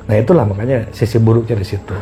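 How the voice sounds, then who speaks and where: A middle-aged man telling personal stories casually as a podcast guest, often pausing and repeating himself to find words. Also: lowish voice, warm tone. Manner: halting, repeats phrases as he searches for words. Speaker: podcast guest recounting past experiences.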